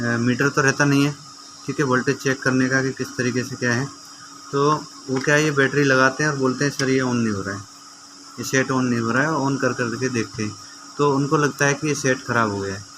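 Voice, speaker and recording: Man giving hands-on tutorial, noisy recording. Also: mid-range voice. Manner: conversational, often checks with "okay" and addresses viewers as friends. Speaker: repair tutor presenting a how-to video. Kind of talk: informal hands-on demonstration, explaining step by step.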